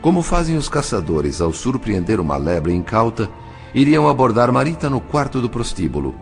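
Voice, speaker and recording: A Brazilian man playing a composed spirit narrator in an audio novel, speaking in a formal, articulate, measured way. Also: medium pitch, very animated. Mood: grave, composed. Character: composed male spirit narrator recounting his undercover mission. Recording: music behind the voice. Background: music.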